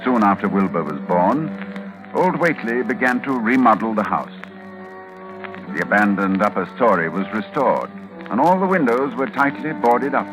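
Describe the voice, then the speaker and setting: A male actor plays a cultivated middle-aged scholar recounting horror. His low voice swings expressively through measured, troubled narration, set against music in an old 1940s radio recording. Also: animated, close to an everyday voice, poor audio. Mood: grave, haunted. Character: learned, troubled university librarian recounting horror.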